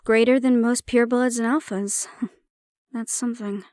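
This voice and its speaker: A woman playing a prickly but secretly caring handler in an intimate fantasy audio roleplay, speaking in a wry, measured, matter-of-fact way. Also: on the high side, fairly even intonation, darkish tone, dry recording, clean studio sound, close to an everyday voice. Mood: musing, matter-of-fact.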